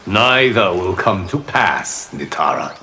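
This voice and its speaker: A man's voice: deep voice, very animated, takes it slowly, heavily acted. A man playing a wise, reproving guardian god, earnest and admonishing, in a video game. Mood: firm. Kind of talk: video game fight intro banter. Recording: game audio with background noise.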